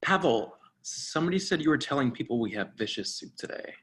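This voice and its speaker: A man's voice: mid-range voice, lively intonation, a little fast, fairly bright tone, natural voice. An actor playing a fussy, officious male restaurant host with formal manners in a readers theatre drama. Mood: exasperated, condescending. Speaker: actor in a staged reading.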